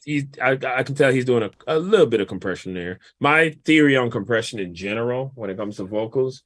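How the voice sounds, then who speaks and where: A man, a guest mix engineer, casually walking through a vocal mixing session in a relaxed, explanatory, colloquial way. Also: medium pitch, a little fast, dry recording.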